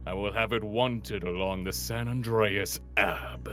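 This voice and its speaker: A British man hosting a word game, bantering casually and reading aloud in an excitable, giggly, playful way. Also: fairly low pitch, unhurried. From Britain. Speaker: host of an online word game. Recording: light music bed behind voice. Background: light music.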